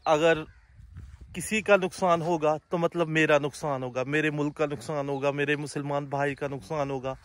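A man in his thirties with a Punjabi accent, filming a vlog on a phone at arm's length while walking by the fields and explaining as he goes. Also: fairly high pitch. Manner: relaxed explaining while walking, hand gestures. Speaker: vlogger. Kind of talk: casual vlog commentary. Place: outdoors by wheat fields. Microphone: phone held at arm's length.

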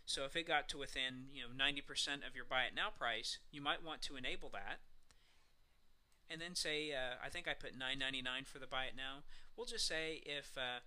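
A man's voice: medium pitch, fairly quick, bright, forceful tone. A man narrating a screencast walkthrough, explaining each step in a casual, semi-scripted way. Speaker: software tutorial presenter.

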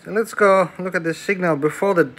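Higher-pitched man over clear background noise. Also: a little fast, a bit bright, rough recording. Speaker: electronics hobbyist presenter. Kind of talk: casual hands-on demonstration commentary. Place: small indoor room at a workbench.

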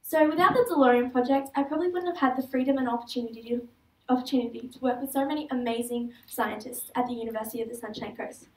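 A woman's voice: fairly high pitch, fast talker. Australian teenage girl, student speaker, earnest with small slips; roomy hall sound. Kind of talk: prepared stage talk. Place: large auditorium stage. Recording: echoey hall, heard from far off.

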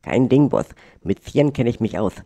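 A man's voice: mid-range voice, animated, moderate pace, vocal fry, mellow, no room sound. A man playing a cocky cartoon secret agent, boastful and eager to please his boss.

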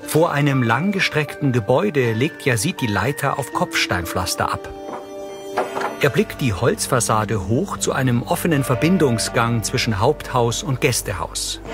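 A man reading scripted scene descriptions in a neutral, factual manner. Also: mid-range voice. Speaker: audio description narrator. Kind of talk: scripted narration. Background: music.

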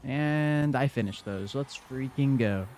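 A man's voice: mid-range voice, slow, a little mellow, faint music behind. A young man with a congested voice giving casual commentary over his own gameplay in a laid-back play-by-play. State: congested from a cold. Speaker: gaming YouTuber.

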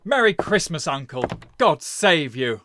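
A cheerful, earnest young nephew. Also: male voice, fairly high pitch, very animated, a little slow, noisy background. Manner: earnest, pleading. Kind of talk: audio drama acting.

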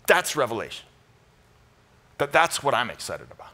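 A man's voice: medium pitch, big pitch swings, normal speed, bright, forceful tone. A middle-aged American man preaching to a congregation as pastor, teaching in punchy, conversational short phrases with repeated emphasis. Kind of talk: sermon to a live congregation with Bible teaching. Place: church stage, indoors.

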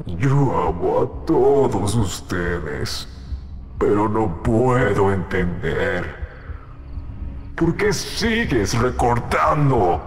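A man with a Latin American accent voicing a menacing, weary, obsessive monster dog in a cartoon fan dub, brooding and deliberate. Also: lowish voice, a little hiss or hum, full character voice. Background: music.

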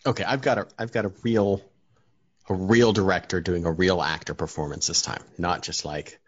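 Male co-host, deep and husky, loose meandering talk full of fillers. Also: steady tone, moderate pace, no room sound, muffled recording. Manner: rambling, hesitant, lots of fillers. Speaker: podcast co-host. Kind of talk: casual chat.

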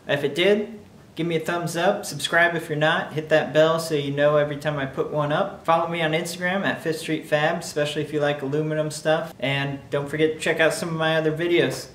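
A man in his thirties hosting a welding channel, casually addressing viewers at the start and end of a tutorial. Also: fairly high pitch. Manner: friendly, direct address to viewers. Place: wood-walled garage workshop, indoors. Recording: echoey workshop room.

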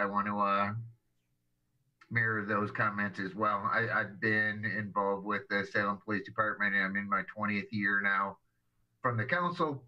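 A man's voice: fairly low pitch, pushed and bright, dull audio. A man who is a longtime city councilor, speaking steadily in a council meeting. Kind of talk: formal meeting remarks.

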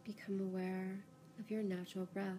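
Mellow-voiced woman guiding a calm meditation. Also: mid-range voice, slight background noise. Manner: calm, unhurried, soothing, with pauses. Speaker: yoga nidra meditation guide. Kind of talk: guided relaxation meditation, slow instructions.

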